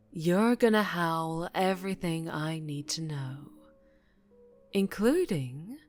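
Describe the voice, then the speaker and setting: A woman playing a dangerously charming female mafia boss in an ASMR roleplay, teasing and condescending with quiet, possessive menace. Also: deep voice. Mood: quietly threatening.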